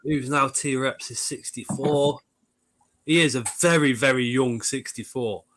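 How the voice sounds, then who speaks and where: A man's voice: mid-range voice, fairly even intonation, measured pace, fairly bright tone, a little hiss or hum. A man, hosting a live stream in casual chat, joking and swearing lightly as he reads out viewer comments. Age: 40s.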